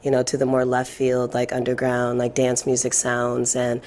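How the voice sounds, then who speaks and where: A woman in her thirties from the Detroit area, a guest DJ talking in a relaxed interview with lots of fillers. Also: very deep voice, moderate pace, slight background noise. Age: 30s. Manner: relaxed, laughs easily, many fillers. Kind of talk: casual interview.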